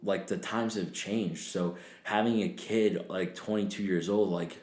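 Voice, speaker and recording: A man in his twenties chatting loosely on a podcast, his voice a little low, filled with fillers and curses. The room echoes around him. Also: fairly even intonation, measured pace, a little mellow. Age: 20s. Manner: loose, swearing, laughing, trailing fillers. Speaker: podcast guest. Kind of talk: casual chat.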